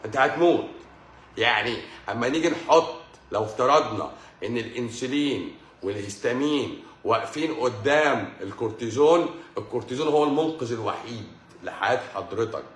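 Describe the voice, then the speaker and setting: A man's voice: medium pitch, expressive, brisk. Emphatic Egyptian man lecturing, roomy sound. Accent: Egyptian Arabic. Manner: lecturing emphatically, repeating key phrases, mixing in English medical terms. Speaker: doctor giving a health livestream. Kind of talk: livestream lecture with viewer questions. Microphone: livestream microphone.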